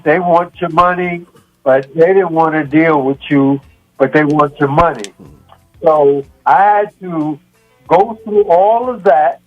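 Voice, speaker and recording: A man in his seventies talking reflectively as a guest, in halting, deliberate phrases with long pauses, through muffled stream audio. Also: fairly high pitch. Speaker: guest, yoga practitioner. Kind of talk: reflective conversational talk.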